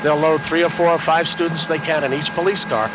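A man's voice: fairly high pitch, steady tone, fairly quick. A man with an American accent giving live news commentary as a reporter. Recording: noisy outdoor live news broadcast.

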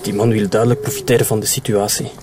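An actor playing a wary, questioning militant sidekick in a radio drama. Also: male voice, lowish voice, flat delivery, fast talker, a little mellow, husky.

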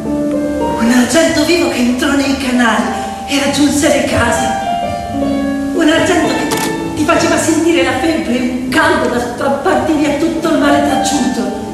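A woman's voice: high voice, measured pace. A woman telling a story theatrically, in a poetic, emphatic manner with incantatory phrasing. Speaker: stage storyteller and actress. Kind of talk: dramatic theatrical storytelling with piano. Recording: echoey reverberant hall, distant mic, loud music. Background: piano music.

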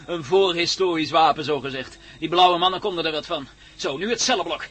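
An actor playing a cocky, mocking adventurer who leads a rescue, sardonic and commanding, in a radio drama. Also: male voice, on the high side, moderate pace, very noisy, close to an everyday voice.

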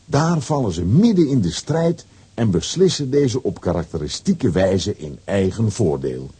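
A man narrating a children's audio adventure story in a dramatic, scripted way with a dark, husky voice. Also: lowish voice, big pitch swings, measured pace.

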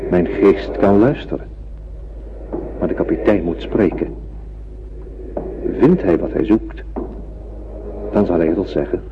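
An actor playing a grave, enigmatic telepath on a spaceship, speaking calmly and deliberately in a radio drama. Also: male voice. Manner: calm, deliberate, cryptic. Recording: muffled, noisy radio drama with rumbling.

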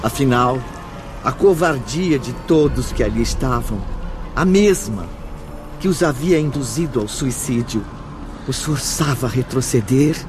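An actor narrating a dark radio drama in a solemn, measured, ominous way. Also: male voice, medium pitch, expressive, close to an everyday voice. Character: solemn omniscient male narrator of a dark spiritual tale. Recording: loud dramatic music under the voice.

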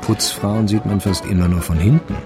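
A man's voice: on the low side, fairly even intonation, moderate pace, music in the background, natural voice. An actor playing a sober, wry male narrator, reading scripted literary narration in a radio crime drama. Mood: wryly detached.

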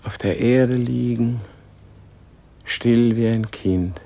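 A man playing a dying, serene Gandhi in a solemn radio play, speaking in a gentle, contemplative, weary manner. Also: medium pitch, steady tone, slow pace, gravelly.

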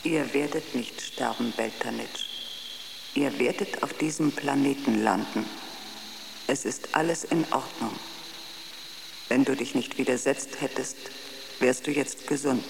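A woman's voice: rumbling low, measured pace, creaky, pauses often, background noise, exaggerated voice. A woman playing a seductive, insistent phantom voice in a radio drama, drawn out and incantatory, often whispering. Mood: soothing, commanding.

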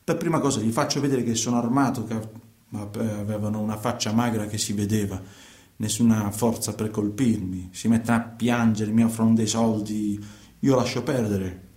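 An actor playing a guilty, self-justifying truck driver in a radio play, with a southern Italian accent and a halting, repetitive, colloquial delivery. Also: male voice, fairly low pitch, fairly even intonation, a little mellow, close to an everyday voice. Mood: uneasy, explaining. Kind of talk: radio theatre monologue, colloquial confession. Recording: echoey room.

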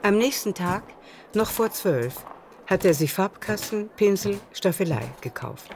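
A woman's voice: mid-range voice, expressive, measured pace, a little mellow, slight background noise. A woman narrating a literary radio play in a scripted, storytelling style.

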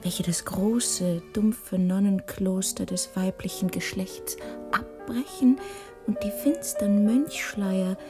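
Woman playing a bold passionate lady in a radio drama, slow lowish voice, theatrical, music behind. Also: close to an everyday voice. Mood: ardent. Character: bold, free-spirited, passionate lady of the arts. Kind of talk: literary radio play dialogue.

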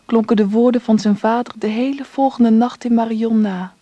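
A woman narrating a radio drama in a calm, even way. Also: fairly high pitch, normal speed, dry recording, highs cut off.

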